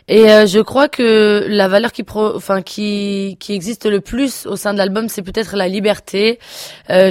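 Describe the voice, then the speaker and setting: A woman in her twenties, a singer answering interview questions casually, pausing often with fillers and restarts. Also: mid-range voice, darkish tone, dry recording, studio quality. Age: 20s. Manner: hesitant, many fillers and restarts. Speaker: singer guest.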